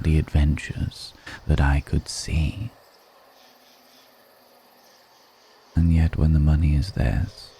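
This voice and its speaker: Ancient vampire played by a male actor, ASMR roleplay: seductive, weary, brooding. Bottomless gravelly voice, deliberate pauses, crickets faintly behind. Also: rumbling low, mellow, slight background noise, exaggerated voice. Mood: reflective, wistful. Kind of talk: intimate ASMR roleplay monologue.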